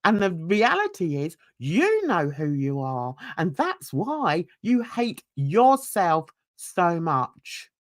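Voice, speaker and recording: Older woman, slow indignant ranting, very lively pitch. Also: dry recording, studio quality. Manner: blunt, indignant ranting with asides to viewers. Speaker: YouTube commentator. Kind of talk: livestream chat reading.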